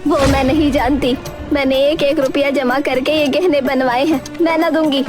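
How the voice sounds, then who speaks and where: A woman playing a stubborn, sharp-tongued older village wife in a cartoon, scolding emphatically. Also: high voice, fairly even intonation, normal speed, over music, lightly acted. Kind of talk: Hindi cartoon story acting.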